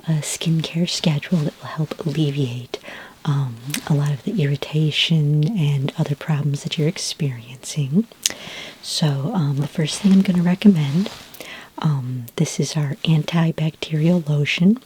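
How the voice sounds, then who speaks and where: A woman playing a patient, reassuring sci-fi skincare consultant in a soft-spoken, half-whispered ASMR roleplay, gentle and a little hesitant. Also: low voice, husky, heavily acted, poor audio. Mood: reassuring, helpful. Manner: gentle, hesitant, unhurried, polite questioning.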